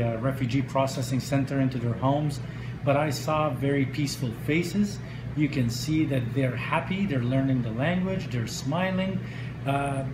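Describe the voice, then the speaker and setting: A middle-aged man from Lebanon and Egypt, speaking earnestly in a news interview, often pausing on uh. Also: medium pitch. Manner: earnest, with frequent uh fillers. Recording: echoey room with steady noise.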